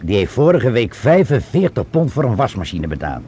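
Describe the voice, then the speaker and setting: A man's voice: medium pitch, very animated, moderate pace, dark tone. An actor playing a talkative junior policeman in a Dutch radio drama, colloquial and deferential to his superiors. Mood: grumbling. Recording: radio play, faint outdoor street noise.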